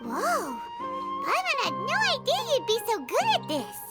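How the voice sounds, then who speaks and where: Tiny childlike game fairy: very high, swooping, exclamatory, loud music behind. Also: female voice, huge pitch swings, normal speed, full character voice. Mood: amazed, excited. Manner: exclamatory, speaks of herself in third person. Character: tiny excitable childlike female floating fairy companion. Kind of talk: video game voice acting.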